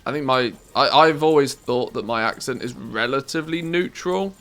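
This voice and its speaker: A man's voice: mid-range voice, moderate pace, fairly bright tone, faint music behind. A man with an English accent and a scratchy, harsh voice, chatting casually to his live-stream audience into a good studio microphone, rambling and correcting himself as he goes. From England. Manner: rambling, self-correcting, musing to chat. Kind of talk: casual live-stream chat while playing.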